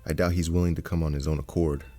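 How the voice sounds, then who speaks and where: A man's voice: rumbling low, level tone, fast talker, music in the background. A man playing a weary, curt operative in a drama, guarded and dry in the way he talks. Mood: wary. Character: weary, curt male operative and estranged father. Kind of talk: acted dramatic dialogue.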